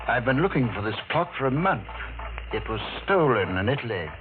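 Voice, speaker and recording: An actor playing a formal, grave police detective in an old-time radio mystery, speaking in a measured, official way. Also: male voice, mid-range voice, big pitch swings, lightly acted. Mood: grave authority. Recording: tinny vintage radio recording.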